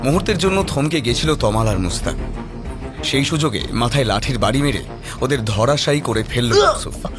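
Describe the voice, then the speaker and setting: Male narrator, medium pitch, scripted horror storytelling, prominent background music. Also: big pitch swings, normal speed.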